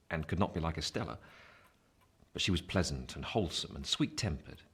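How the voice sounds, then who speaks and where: Older-self narrator in a classic novel radio play, real deep husky voice, measured n reflective. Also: male voice, fairly bright tone, full character voice. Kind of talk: scripted narration, literary audio drama.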